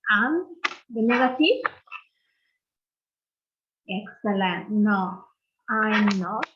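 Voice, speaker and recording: A woman's voice: medium pitch, expressive, drawn-out words, lo-fi sound, a little hiss or hum. A woman teacher from Peru with a Peruvian Spanish accent, leading an online English lesson and patiently repeating phrases for learners to copy.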